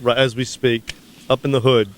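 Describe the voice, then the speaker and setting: A man with an average-pitched voice joins in casual on-air banter as a radio sidekick. Faint noise sits behind his voice. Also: little pitch movement, moderate pace, a bit bright. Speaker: radio show staff sidekick.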